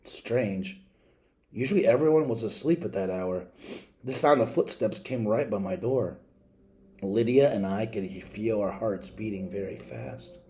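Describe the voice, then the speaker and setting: A man reading a storybook aloud in an expressive, deliberate storytelling manner. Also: fairly low pitch. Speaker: read-aloud reader.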